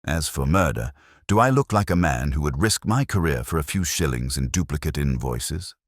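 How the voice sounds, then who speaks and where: Man playing a pompous, very deep-voiced councillor. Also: expressive, normal speed, no room sound, studio quality. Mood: pompous, aggrieved. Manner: oratorical, as if addressing posterity. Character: pompous, self-important male town councillor.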